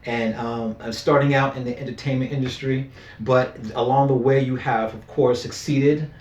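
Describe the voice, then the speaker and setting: A man's voice: mid-range voice, fairly even intonation, normal speed, darkish tone. A man in his forties hosting a talk show, asking questions and affirming his guest often. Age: 40s. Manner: supportive, full of wows and mm-hmms. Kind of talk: interviewing, with frequent affirmations. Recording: echoey room, distant mic.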